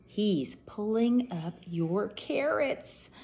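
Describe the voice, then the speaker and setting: A woman voicing an earnest male cartoon pig, speaking lower and in a hushed, plotting way. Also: animated, extremely slow, gravelly, exaggerated voice.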